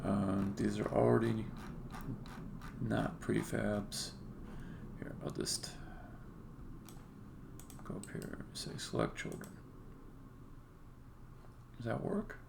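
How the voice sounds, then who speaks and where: A man with a lowish voice full of heavy creak talks casually to himself while live-coding. He mutters and stops and starts as he thinks through each step. Faint noise, keyboard typing and clicking sit behind him. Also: fairly even intonation, takes it slowly, pauses often. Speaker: game developer live-streaming a coding session. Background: computer keyboard typing and mouse clicks.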